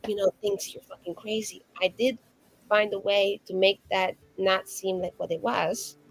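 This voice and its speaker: Husky-voiced woman roleplaying a troublemaker. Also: medium pitch, steady tone, moderate pace, poor audio. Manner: rambling, profane, giggly storytelling. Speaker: player voicing a mage character. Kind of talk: in-character tabletop roleplay banter.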